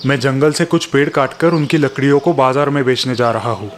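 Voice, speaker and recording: A man's voice: medium pitch, fairly quick, a little hiss or hum, close to an everyday voice. A man voicing a discontented, impatient villager farmer who wants money, in a dramatized children's moral story. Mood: dismissive. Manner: grumbling, impatient. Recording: music behind the voice.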